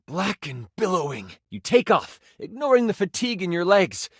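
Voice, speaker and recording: An eager male game master tells a fantasy tabletop adventure for a video game. His pitch sits on the high side and swings widely as he builds drama. The recording is dry and close, with a theatrical storyteller's delivery. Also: normal speed, husky, no room sound, full character voice. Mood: dramatic storytelling. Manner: dramatic, expressive, with aside remarks.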